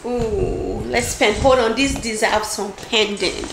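A woman's voice: medium pitch, slow pace, vocal fry, noisy background. A young woman casually hyping up her friend in short, informal remarks. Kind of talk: casual hype chat. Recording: roomy echo, music playing behind.

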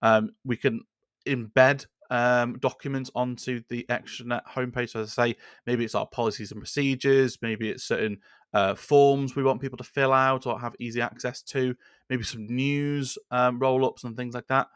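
A man in his thirties hosting a screen-share software tutorial, talking in a relaxed, conversational way with frequent fillers from a desk in a small indoor room. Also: medium pitch, normal speed, smooth, dry recording, clean studio sound. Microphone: studio microphone on a boom arm.

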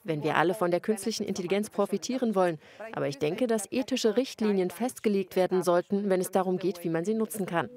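A woman's voice: mid-range voice, fast talker, a little mellow. A young woman in her twenties, a program participant, giving earnest, reflective answers in an interview. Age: 20s.

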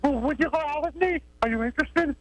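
Extremely high-voiced male caller. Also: fast talker, fairly bright tone. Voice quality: very high-pitched. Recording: phone line.

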